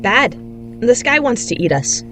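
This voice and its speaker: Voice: medium pitch, big pitch swings, measured pace, sharp tone, soft background music, poor audio. An actor playing an anxious, introspective young adult in an audio drama, both narrating and in dialogue. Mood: reserved.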